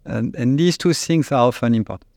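A man with a French accent, a company CEO, answering interview questions in a halting, searching way with frequent restarts. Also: medium pitch, gravelly, a little mellow, dry recording, studio quality. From France. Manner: halting, restarts often, searches for English words.

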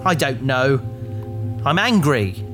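An actress playing an earnest, anxious and emotional sci-fi crew member, speaking emphatically in an audio drama. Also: female voice, extremely low pitch, big pitch swings, slow, a bit bright, soft background music, heavily acted. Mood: grieving, angry.

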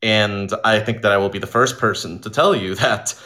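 A man talking in an informal interview as an audio drama creator, telling stories in an animated way and voicing imagined quotes. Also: lowish voice, normal speed. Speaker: audio drama writer and director being interviewed.

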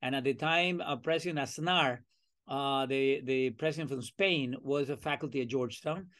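An older man from Venezuela with a Venezuelan accent, telling his career story as a podcast guest in an animated way, acting out old conversations with plenty of fillers. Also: medium pitch, normal speed. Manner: animated, acts out remembered dialogue, many fillers. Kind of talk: casual storytelling interview.

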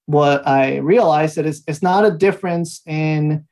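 A man in his thirties from Mexico, speaking as an interview guest, telling his story in a relaxed way with frequent fillers and small laughs. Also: fairly high pitch, unhurried. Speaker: guest, language institute founder. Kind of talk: conversational interview answers.

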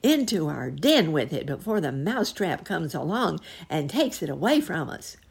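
A woman voicing hungry, greedy, excitable rats in a storybook, exclaiming loudly and gleefully. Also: low-pitched, moderate pace, gravelly, slightly rough, exaggerated voice. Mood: excited.

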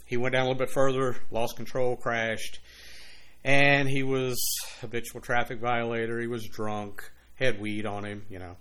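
A man's voice: medium pitch, level tone, normal speed, fairly bright tone. Man in his sixties telling cop stories. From Louisiana, USA. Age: 60s. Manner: long rambling anecdotes with many "you know" asides. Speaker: retired police officer and author, interview guest. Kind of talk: casual conversational interview.

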